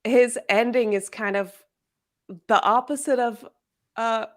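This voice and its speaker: A woman in a casual book discussion, speaking in an informal, exclamatory and animated way. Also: fairly high pitch, unhurried. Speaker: guest discussing a novel in a readalong livestream.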